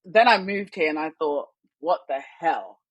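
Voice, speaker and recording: Young West London woman, expressive and chatty, dry close sound. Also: low voice, normal speed, slightly rough, dry recording, dull audio. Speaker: guest, workplace culture consultant. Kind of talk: casual interview chat.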